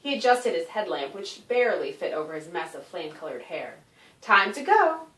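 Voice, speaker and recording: A woman author reading a children's story aloud in an expressive, animated narration with dramatic emphasis. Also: low-pitched, brisk. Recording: roomy echo, distant microphone.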